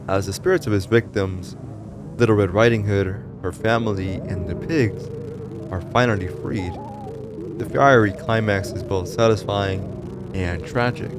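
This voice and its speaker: A man reading scripted narration for a horror story explainer in a dramatic, ominous storytelling manner. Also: lowish voice. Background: music behind the voice.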